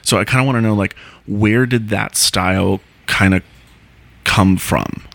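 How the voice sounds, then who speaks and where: A man's voice: fairly low pitch, measured pace, vocal fry, a bit bright, no room sound. A man hosting a podcast interview, chatting casually with plenty of filler words. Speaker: podcast host.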